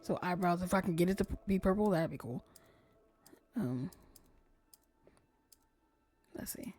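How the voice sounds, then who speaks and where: A woman streamer with a lowish voice chats casually into her desk mic as she plays, often trailing off soft and close to a whisper. Faint game music sits under her talk. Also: animated, a little slow, husky. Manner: chatty, soft-spoken, often drops to near whisper. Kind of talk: casual gameplay commentary.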